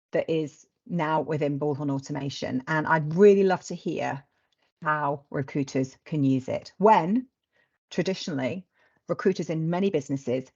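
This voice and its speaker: A woman's voice: deep voice, a little mellow, husky. A middle-aged woman hosting a conversational discussion over a video call from an office. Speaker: host. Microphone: video call microphone. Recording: dull lo-fi call audio.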